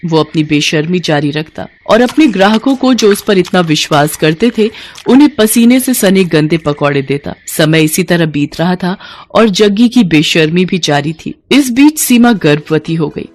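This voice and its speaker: A woman's voice: on the low side, darkish tone. A woman reading scripted narration as the storyteller of a cartoon moral tale.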